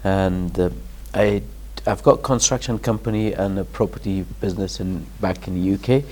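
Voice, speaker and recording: Man from afghanistan, low-pitched, warm, halting interview answers. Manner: hesitant, many restarts and fillers, laughs in places. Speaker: guest, charity founder.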